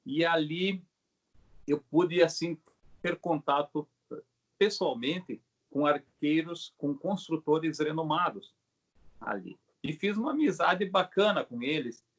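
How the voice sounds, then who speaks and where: A middle-aged Brazilian man with a slightly high voice talks casually as an interviewed craftsman. He explains things haltingly, restarting and adding little tag questions as he goes. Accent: Brazilian Portuguese. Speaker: craftsman, bow maker being interviewed. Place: video call.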